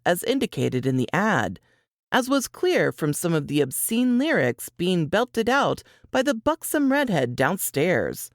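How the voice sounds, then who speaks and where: A woman reading scripted fiction as an audiobook narrator, in an expressive storytelling style. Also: deep voice, dry recording, clean studio sound.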